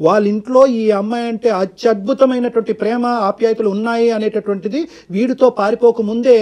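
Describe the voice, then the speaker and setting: Male talk-show counsellor, high voice, rapid delivery, emphatic, long-winded advice sprinkled with English. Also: steady tone, darkish tone.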